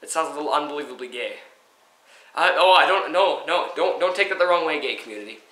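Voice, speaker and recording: A man's voice: fairly high pitch, animated, fast talker, pushed and bright. Rambling teen boy, echoey room. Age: teens. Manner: rambling, joking, many fillers. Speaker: co-host. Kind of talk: casual chat. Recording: echoey room, far from mic.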